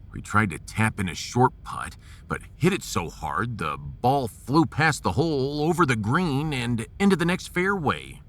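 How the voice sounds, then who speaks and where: A man playing a gruff, folksy American starship captain in a sci-fi audio drama, resolute and commanding with homespun slang. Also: mid-range voice, big pitch swings, unhurried, fairly bright tone. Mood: wry.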